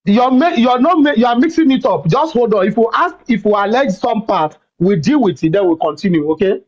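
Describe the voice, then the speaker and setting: A man's voice: sits high, animated. Sex: man. Role: live stream host. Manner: repeats words and interrupts with pleas to wait. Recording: dull, lo-fi stream audio. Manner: repeats words, cuts in with rapid "wait" and "hold on". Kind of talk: heated live call-in debate.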